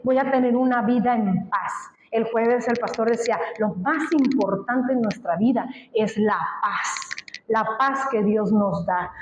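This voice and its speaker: A woman's voice: high-pitched, roomy sound, muffled recording. A woman from Colombia preaching a sermon, speaking emphatically and asking the congregation questions. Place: church.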